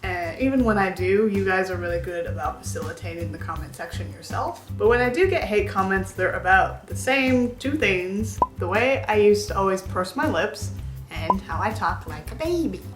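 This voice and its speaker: A woman's voice: mid-range voice, expressive, moderate pace, echoey room, music in the background. A woman in her twenties chatting candidly to camera as a vlogger, rambling with lots of filler words. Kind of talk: casual chat to camera.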